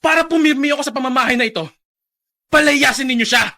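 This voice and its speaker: A man's voice: very high voice, fast, sharp tone, full character voice. An actor playing a bitter, resentful young man who scorns his father in a Filipino radio drama, biting and defiant.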